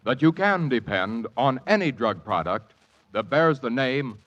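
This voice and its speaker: An American man narrating a 1940s radio advertisement in a measured, solemn, polished manner. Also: medium pitch, animated, a bit bright. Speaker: commercial announcer. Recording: dull 1940s radio recording.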